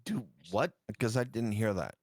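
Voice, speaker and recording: A man playing in a tabletop roleplaying game, speaking in a slow, deliberate way. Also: on the low side, very animated, dry recording, studio quality. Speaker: player. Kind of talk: casual tabletop game chat.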